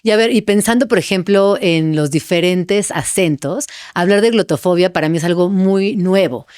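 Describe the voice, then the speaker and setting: Woman co-host, cultural journalist, direct, lowish voice, dry. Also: moderate pace, darkish tone, smooth, no room sound. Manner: direct, questioning. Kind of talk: talk show conversation.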